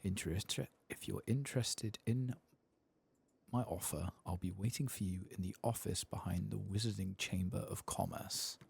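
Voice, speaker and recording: A man voicing a polite fantasy game character recruiting couriers, reading softly and courteously. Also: low voice, fairly even intonation, normal speed, vocal fry, husky, exaggerated voice. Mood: polite, inviting. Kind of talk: soft-spoken read-aloud of game dialogue.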